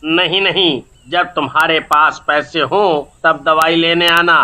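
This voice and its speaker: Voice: mid-range voice, fairly even intonation, a little slow, bright, forceful tone, poor audio. A performer voicing a rude male medicine-shop keeper in a moral story, dismissive and irritated. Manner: curt, irritated, drawling.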